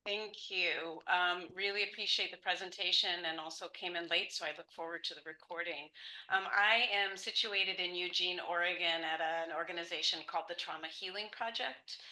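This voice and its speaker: Female Q&A participant, low pitch, very bright tone, measured delivery with fillers. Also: steady tone, rough recording. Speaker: audience member asking a question. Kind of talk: introducing herself in a Q&A.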